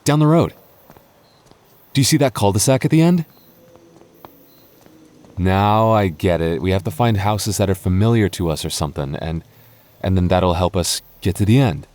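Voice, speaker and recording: A man playing a young goth boyfriend, protective, playful and easily flustered, in an intimate ASMR roleplay with halting, earnest delivery. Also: sits low, expressive, darkish tone. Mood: startled, excited.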